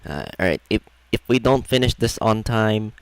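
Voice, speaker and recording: A man's voice: fairly low pitch, lively intonation, normal speed, gravelly, clear, resonant tone, no room sound. A man giving casual live commentary on a video game, sometimes reading dialogue aloud. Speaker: game streamer. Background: game music.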